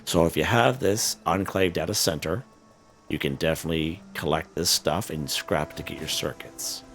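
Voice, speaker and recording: A man with a hoarse, worn voice, casually talking viewers through a game, full of fillers and "okay?" check-ins. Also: extremely low pitch, normal speed, a bit bright, soft background music. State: hoarse, losing his voice. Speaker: gaming channel host. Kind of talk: casual gameplay walkthrough commentary.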